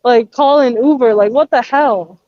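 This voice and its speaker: A woman's voice: sits high, normal speed, no room sound, muffled recording. A young woman chatting casually on an online call in an exasperated, slangy way, venting as she goes. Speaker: stream guest. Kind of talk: casual chat.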